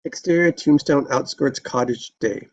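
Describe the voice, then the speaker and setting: A man's voice: medium pitch, normal speed, dull audio. A man reading stage directions as narrator in a live screenplay table read.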